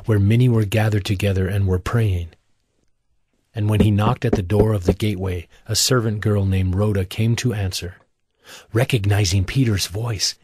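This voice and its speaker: Low-voiced man narrating scripture. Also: a little mellow, husky, dry recording, studio quality.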